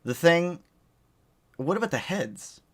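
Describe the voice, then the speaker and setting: Lively, rambling male streamer. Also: fairly high pitch, slow pace, a little hiss or hum. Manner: rambling, jumps between topics. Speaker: livestream host. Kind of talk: casual chat answering viewers.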